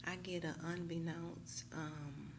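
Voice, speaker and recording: An American woman talking casually and emphatically to her viewers in a slangy, animated storytime style, often checking in with them. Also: lowish voice, takes it slowly, background noise. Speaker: YouTube tarot reader.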